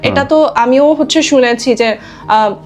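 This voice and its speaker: A woman's voice: sits high, a little slow. A woman in her twenties with a Bangladeshi accent talks conversationally as a guest, making emphatic points with tag questions and mixing in English phrases. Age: 20s. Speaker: interview guest. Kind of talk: conversational discussion. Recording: music behind the voice.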